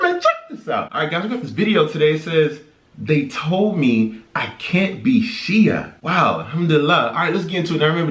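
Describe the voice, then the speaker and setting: Hype guy doing a reaction vlog, highish voice that swings all over, echoey room. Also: fairly bright tone. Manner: hype, slangy, exclamatory. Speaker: reaction video host. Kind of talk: energetic casual reaction vlog chat.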